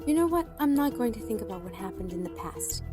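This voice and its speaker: A woman's voice: mid-range voice, very animated, fast, over music, natural voice. A woman acting an eager, idealistic, nerdy young woman in a fan audio drama, earnest and playful. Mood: earnest, resolute.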